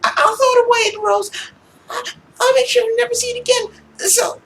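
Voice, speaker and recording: Visual novel role: meek, desperate young girl played by a man, very high falsetto, stammering pleas, faint noise. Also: level tone, moderate pace, bright, forceful tone, exaggerated voice, rough recording. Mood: frightened. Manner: stammering, pleading. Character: frightened pleading teenage girl, meek and desperate.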